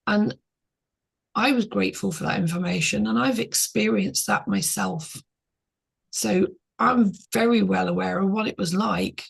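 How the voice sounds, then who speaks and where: A woman's voice: medium pitch, creaky, husky. A middle-aged woman co-hosting a podcast, talking in an earnest, reflective way in short restarting phrases. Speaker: podcast co-host. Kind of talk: casual podcast conversation.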